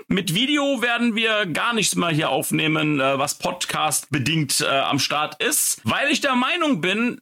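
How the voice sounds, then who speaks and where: Male podcast host, bright tense voice, slow slangy delivery. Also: sits high. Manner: chummy, slangy, hesitant. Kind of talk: casual podcast hosting.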